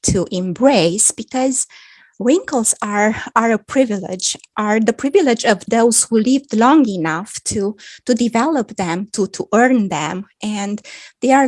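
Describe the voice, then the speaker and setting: A woman in her fifties from Romania, speaking with a Romanian accent as a guest on a video-call chat, thoughtful and quick to laugh. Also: mid-range voice, darkish tone, dry recording. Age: 50s. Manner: slow, thoughtful, laughs often. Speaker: guest author. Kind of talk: casual video-call interview.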